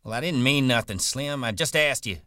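A man voicing a small, pugnacious ranch bully with rough, combative rural American speech in a story reading. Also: mid-range voice, steady tone, fast talker, pushed and bright, dry recording, studio quality, pitched above the performer's own voice, lightly acted. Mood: defensive. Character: small pugnacious hot-tempered young ranch bully, welterweight boxer.

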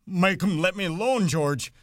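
A man's voice: sits high, moderate pace, a bit bright, dry recording, studio quality, voice pushed up. A man voicing a big, childlike, frightened man in a storybook reading, pleading in wailing cries. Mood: terrified.

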